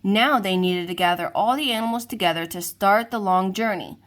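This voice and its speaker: A woman reading a children's storybook aloud to kids, lively and expressive. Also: mid-range voice, brisk. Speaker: storybook reader.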